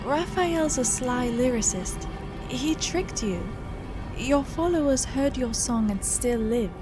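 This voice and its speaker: High voiced elf bard, game character, smart n composed, talks u into stuff, music under it. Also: female voice, lively intonation, slow pace. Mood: cool, persuasive. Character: shrewd, composed noblewoman elf bard adventurer. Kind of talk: dramatic video game dialogue.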